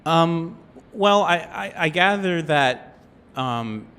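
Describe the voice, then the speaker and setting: A man playing an earnest, sheltered young acting student in an improvised audio role-play, stammering, halting and apologetic. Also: a little high, expressive, a bit bright, clear, resonant tone. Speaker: role-playing game player.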